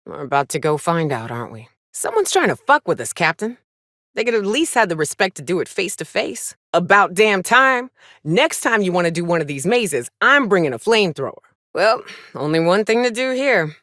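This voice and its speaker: An actress playing a tough, wry, hard-drinking space trailblazer in a video game, with a sardonic, folksy and salty-tongued manner. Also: female voice, lowish voice, very animated, moderate pace, a bit bright, dry recording, studio quality. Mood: irritated, bold. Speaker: companion character in a video game. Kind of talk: video game voice acting, short reactive lines.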